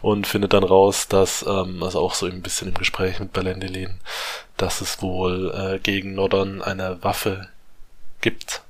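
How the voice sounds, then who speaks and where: A man's voice: deep voice, completely flat delivery, measured pace. A man on a casual podcast, recapping a book chapter in a rambling way with many fillers and restarts. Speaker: podcast co-host summarizing a book.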